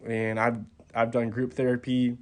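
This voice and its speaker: A young man in his twenties talks casually in an interview. His voice stays flat and level with little rise and fall. He pauses often with soft ums and restarts his sentences. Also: medium pitch. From Texas. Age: 20s. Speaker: college golfer, podcast guest.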